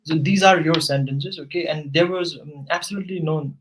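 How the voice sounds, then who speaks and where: A man's voice: a little high, a little fast, noisy background. A man working as an English tutor, patiently giving corrective grammar feedback in a one-on-one session.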